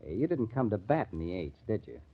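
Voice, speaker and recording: An actor playing a probing, skeptical murder investigator in an old-time radio crime drama. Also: male voice, on the low side, sing-song, fast, breathy edge, full character voice. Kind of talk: 1940s radio acting. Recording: tinny, narrow-band old radio recording.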